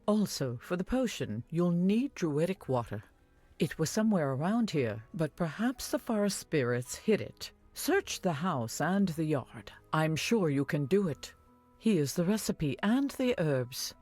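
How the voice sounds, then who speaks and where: An actress playing an older village wise woman in a video game, caring and earnest, giving measured instructions. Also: deep voice, big pitch swings, a little mellow, heavily acted. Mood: earnest, urgent. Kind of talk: scripted video game dialogue. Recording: music behind the voice.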